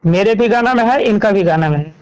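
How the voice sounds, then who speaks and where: High-pitched eastern Indian man, emphatic talker. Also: animated, a little fast, a little mellow, rough recording. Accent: Bhojpuri-Magahi belt Hindi, eastern India. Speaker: singer being interviewed. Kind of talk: informal interview conversation.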